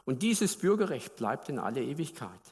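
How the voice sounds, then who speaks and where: Preacher, male, from Baden, mid pitch, chatty sermon delivery, long reverb of a church hall. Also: big pitch swings, moderate pace, a little mellow. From Baden, Germany. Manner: conversational, asks the congregation questions.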